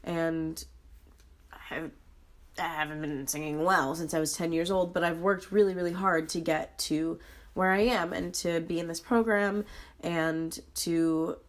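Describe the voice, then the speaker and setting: A young woman in her twenties, hoarse and sick, talking earnestly about her struggles in a personal vlog, with frequent pauses and ums. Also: fairly low pitch, fairly even intonation. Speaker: vlogger.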